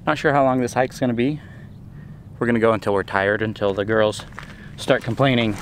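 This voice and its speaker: A man's voice: medium pitch, brisk, slight background noise. A man in his forties hosting a casual travel vlog, talking to a camera held at arm's length outdoors. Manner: relaxed, chatty, explains details to camera. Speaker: vlog host. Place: outdoors on a desert trail.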